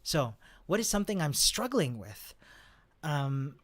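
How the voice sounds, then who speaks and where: A man's voice: fairly high pitch, sing-song, a little slow. Upbeat Chilean-born man chatting with laughs. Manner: upbeat, chatty, laughs often, many fillers. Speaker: developer advocate. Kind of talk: casual talk to camera.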